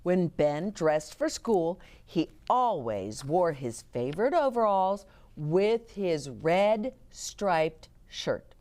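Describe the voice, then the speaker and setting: A woman reading picture books aloud to children in an expressive way, chatting to her young listeners as host. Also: lowish voice, takes it slowly. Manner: expressive, explains words and chats to young listeners. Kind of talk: animated children's read-aloud with asides.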